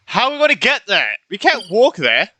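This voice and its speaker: A man's voice: high-pitched, wildly swooping pitch, brisk, bright, forceful tone. A man giving casual let's play commentary as a gaming streamer, with muttered reactions and sweary, exasperated asides. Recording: game music behind the voice.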